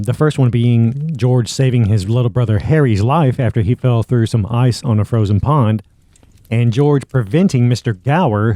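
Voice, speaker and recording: A man narrates as a podcast host, conversational though working from notes. His voice is mid-pitched and mellow, recorded dry and close. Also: no room sound.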